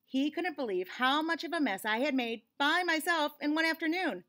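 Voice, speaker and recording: A woman in her forties reads a picture book aloud for children. Her voice sits fairly high and moves expressively up and down as she dramatizes the narration. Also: normal speed. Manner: animated, clearly dramatized for children. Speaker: children's read-aloud host. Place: small indoor room.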